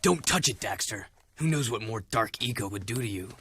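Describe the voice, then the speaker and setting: An actor playing a serious young action hero in a video game, low-key and terse. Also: male voice, animated, brisk, bright, forceful tone, breathy edge, heavily acted. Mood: calm.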